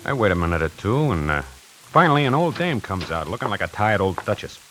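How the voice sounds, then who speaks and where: A man's voice: on the low side, sing-song, fairly quick, a bit bright, low-quality recording. An actor playing a streetwise, nervous young tie salesman in a 1940s radio play, tough-talking and slangy, on an old radio recording. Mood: uneasy. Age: young adult. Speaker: lead actor narrating.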